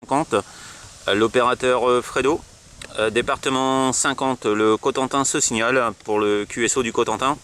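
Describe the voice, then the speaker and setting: A man's voice: mid-range voice, fairly even intonation, normal speed, a bit bright, a little hiss or hum. A man from France with a French accent, chatting casually and hesitantly as a radio operator presenting his gear, often repeating himself. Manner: hesitant, repetitive. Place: outdoors at a roadside.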